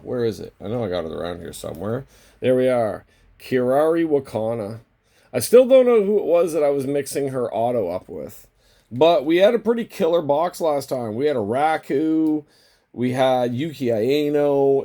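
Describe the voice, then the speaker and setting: Hoarse, creaky-voiced man rambling casually. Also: medium pitch, mellow. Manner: rambling, thinking aloud. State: losing his voice from a lingering cold. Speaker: host of a trading card box-break channel. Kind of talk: casual unscripted card-opening commentary.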